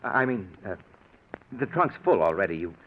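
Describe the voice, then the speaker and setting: An actor playing an unstable, guilty husband turned killer in a 1940s-style radio drama, stammering and hesitant. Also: male voice, deep voice, big pitch swings, moderate pace. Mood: nervous, evasive. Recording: muffled, noisy old radio recording.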